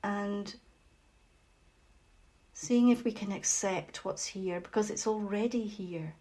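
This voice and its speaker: Very slow, gentle woman guiding meditation. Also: mid-range voice. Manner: gentle, unhurried, with long pauses. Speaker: meditation facilitator. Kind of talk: guided meditation.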